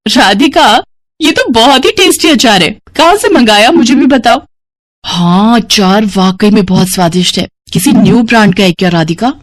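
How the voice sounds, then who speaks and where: A woman's voice: on the high side, expressive, normal speed, close to an everyday voice. A woman voicing an admiring, friendly young woman in a moral story. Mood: delighted.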